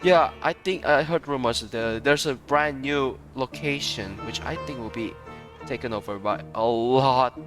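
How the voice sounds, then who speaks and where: A man's voice: mid-range voice, measured pace. A man giving casual, rambling live commentary as he plays a game. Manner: rambling, often breaking into laughter. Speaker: streamer reacting to a game playthrough. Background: game music behind the voice.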